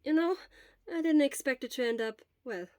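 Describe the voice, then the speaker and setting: Casting: a woman playing a bright, caring teen boy, high and loud, talkative, in a storybook reading. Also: normal speed, no room sound, clean studio sound, lightly acted. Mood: worried chatter. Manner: loud, lively and chatty. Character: cheerful, caring teenage schoolboy friend.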